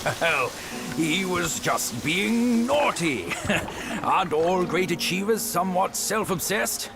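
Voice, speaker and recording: A man's voice: high-pitched, lively intonation, slow, music in the background, exaggerated voice. A man voicing a cheeky, good-natured companion creature in a video game, chatty, playful and irreverent. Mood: defensive, playful. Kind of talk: video game cutscene acting.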